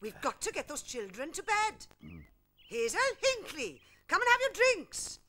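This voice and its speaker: A woman voicing a brisk, motherly hippo mum, caring but exasperated, in a children's television show. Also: high-pitched, sing-song, sharp tone, heavily acted. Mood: exasperated, bossy. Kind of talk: children's television character acting.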